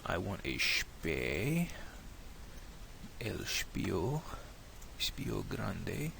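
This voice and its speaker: A man with a cold and a slightly nasal voice, walking through a software tutorial in a relaxed, rambling way, thinking aloud at his computer. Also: low-pitched, very slow, gravelly, slight background noise. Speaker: tutorial creator.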